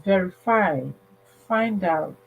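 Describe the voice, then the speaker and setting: A woman's voice: medium pitch, takes it slowly, husky, phone-quality sound. A woman hosting a casual livestream advice talk, speaking emphatically and repeating phrases for stress. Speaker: livestream host.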